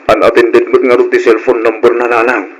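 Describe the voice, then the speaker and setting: An actor playing a troubled, placating working husband in an Ilocano radio drama, on a noisy old recording. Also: male voice, medium pitch, level tone, brisk, lightly acted. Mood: worried.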